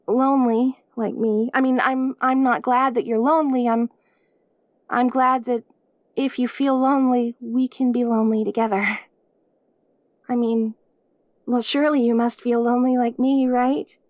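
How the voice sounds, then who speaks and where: A perky, lonely demon girl, acted by a woman for an audio roleplay. Her voice is high and cutesy, and she talks straight to the listener in a clingy, gushing way with hesitant stammers. The recording is very dry, with no room sound. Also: fairly even intonation, moderate pace, a little mellow, no room sound, lightly acted.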